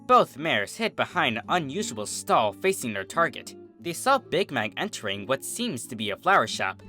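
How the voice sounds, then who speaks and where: Animated, bright-voiced story narrator. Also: mid-range voice, moderate pace, soft background music. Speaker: fan fiction reader. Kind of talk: expressive scripted story narration.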